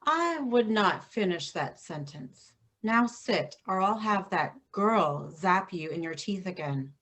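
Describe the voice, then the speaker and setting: An actress playing a stern middle-aged mother who leads vigilante women in a staged reading, firm and measured. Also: medium pitch, lively intonation, fairly bright tone. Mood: warning.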